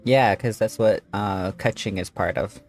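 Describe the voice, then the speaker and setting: A woman's voice: very deep voice, a little slow, a little mellow. A woman hosting a gaming video, giving casual, slangy gameplay commentary and reactions. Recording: game music behind the voice.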